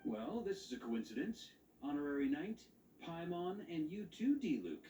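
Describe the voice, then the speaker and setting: An actor playing a dutiful, calm knight commander in a game, speaking in measured, formal, solemn exposition. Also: male voice, a little high, echoey room, far from the mic, a little hiss or hum, poor audio.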